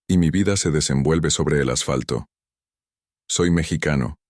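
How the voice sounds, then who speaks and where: A man reads spooky first-person tales in an extremely deep voice. He keeps a steady, measured pace throughout. The sound is dry and close, with no room around it. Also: dry recording. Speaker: narrator. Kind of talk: scripted first-person horror narration.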